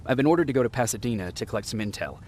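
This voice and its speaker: An actor playing a terse, reserved resistance soldier in a video game. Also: male voice, mid-range voice, animated, racing, darkish tone, close to an everyday voice. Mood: guarded, inquiring.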